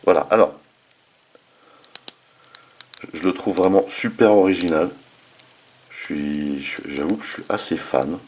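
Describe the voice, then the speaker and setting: A man's voice: low-pitched, measured pace, pauses often, a little mellow, a little hiss or hum. A man hosting a casual hands-on toy review, talking informally with slangy enthusiasm. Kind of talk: casual hands-on product demonstration.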